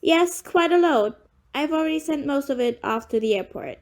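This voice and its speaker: Prickly, defiant mother, played by a woman, very high voice, blunt and dismissive audio-play delivery. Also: expressive, moderate pace, a little mellow. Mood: brusque. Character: crusty, irritable, defiant mother in danger. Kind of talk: amateur audio play acting.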